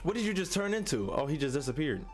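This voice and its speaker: A young American man giving casual, excited reaction commentary, slangy and playful, often repeating his phrases. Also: fairly high pitch, quick pace. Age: young adult. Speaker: reaction video host. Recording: loud game music behind voice.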